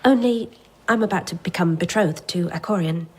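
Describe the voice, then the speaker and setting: An actress playing a respectful young pupil in an audio drama, speaking shyly and with deferential hesitation. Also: female voice, on the low side, normal speed, darkish tone, husky. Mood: shyly eager.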